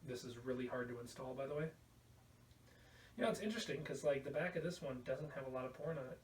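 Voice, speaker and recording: A man's voice: mid-range voice, fast. A man hosting a casual video podcast, rambling through a show-and-tell with an irreverent, crude sense of humor. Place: indoor room at home. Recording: roomy, distant mic, faint background noise.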